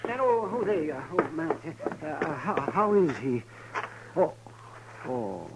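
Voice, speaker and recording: An actor playing a fussy, excitable frontier town doctor in a radio western, flustered and repeating himself. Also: male voice, a little high, unhurried. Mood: flustered, worried. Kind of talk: 1950s radio western acting. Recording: noisy old radio recording.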